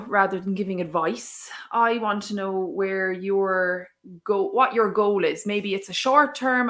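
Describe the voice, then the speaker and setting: An Irish woman with a mid-range voice hosting a relaxed interview. She speaks encouragingly, praising her guest and nudging him on with questions. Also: mid-range voice. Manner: encouraging, warm praise, frequent "mm-hmm" backchannel. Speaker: interviewer, Irish language tutor. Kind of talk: casual interview chat.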